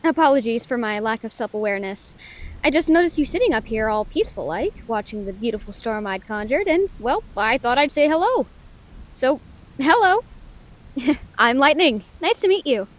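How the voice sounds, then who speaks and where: A woman's voice: a little high, animated, normal speed, lightly acted. A woman playing a friendly, curious, caring lightning elemental in a scripted audio roleplay, speaking earnestly in quaint, formal words. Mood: friendly, playful. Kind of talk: scripted audio roleplay, gentle comforting monologue. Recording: rain behind the voice.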